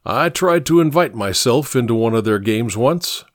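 A man's voice: mid-range voice, expressive, normal speed, no echo, studio quality, lightly acted. A man voicing an easygoing, good-humored bar regular with a casual, wry manner.

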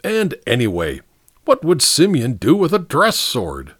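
A man voicing an eager, stubborn gentleman detective in a story, emphatic and excitable in manner. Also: mid-range voice, measured pace, breathy edge, no room sound, studio quality, exaggerated voice. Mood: indignant.

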